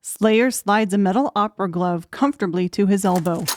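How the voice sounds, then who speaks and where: A woman's voice: medium pitch, moderate pace, a little mellow, no room sound, clean studio sound. A woman reading dramatic scripted audiobook narration as the storyteller.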